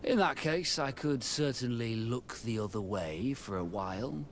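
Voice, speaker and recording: Gruff, curt prison guard. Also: male voice, mid-range voice, lively intonation, a little slow, a little hiss or hum. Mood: conniving. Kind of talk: video game voice acting.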